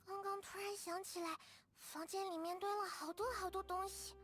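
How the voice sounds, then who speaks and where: Casting: shy, grateful young girl for a video game, extremely high voice, courteous and soft-hearted. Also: fairly even intonation, moderate pace, slight background noise. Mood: flustered. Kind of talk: video game character voice acting.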